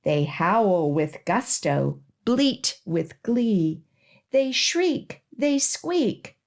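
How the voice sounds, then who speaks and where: A woman children's librarian reading a rhyming picture book aloud for young children, with expressive, measured emphasis on the rhymes. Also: medium pitch. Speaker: children's librarian and storytime host.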